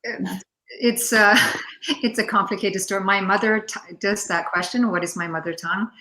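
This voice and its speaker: A woman whose first language is Finnish, answering questions as a poet in a halting, reflective way over a video call. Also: mid-range voice, steady tone, bright, forceful tone, rough recording. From Denmark. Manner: halting, reflective, searching for words. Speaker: poet guest. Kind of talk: casual Q&A answers.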